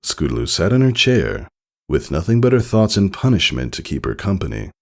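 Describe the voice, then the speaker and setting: Male story narrator, deep and fry-laden, expressive scripted reading. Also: brisk, soft background music. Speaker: fan fiction reader.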